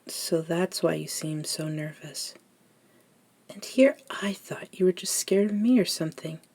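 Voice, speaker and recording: Slow, hushed elder vampire woman, acted. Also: fairly low pitch. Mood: calm, probing. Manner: soft-spoken, breathy near-whispers, measured pauses. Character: centuries-old female vampire elder, gentle and reflective mentor. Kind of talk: intimate ASMR roleplay monologue.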